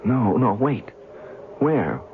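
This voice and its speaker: A man's voice: medium pitch, animated. Emotional, swooping man in noisy radio play. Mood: bewildered. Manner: halting, emotional, incredulous. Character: earnest, lovestruck, bewildered and frightened man. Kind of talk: radio drama acting. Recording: narrow-band old radio, loud noise.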